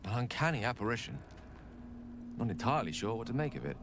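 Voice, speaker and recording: Musing, very animated scholarly wizard. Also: male voice, medium pitch, fast talker, noisy background. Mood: dazed wonder. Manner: bookish, musing, articulate. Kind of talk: video game voice acting.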